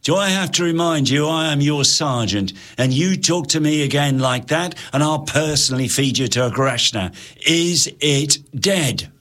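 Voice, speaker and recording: Brief: man as a threatening, scornful army sergeant, loud and rough. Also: fairly high pitch, expressive, moderate pace, voice pushed up. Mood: stern. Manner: gruff, threatening. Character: gruff bullying male army sergeant.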